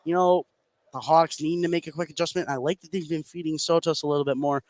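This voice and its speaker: A man's voice: on the high side, fairly even intonation, fast, darkish tone, no echo. A man working as a play-by-play announcer, giving live basketball commentary on the radio. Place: indoor high school gymnasium. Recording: clear venue noise behind the voice.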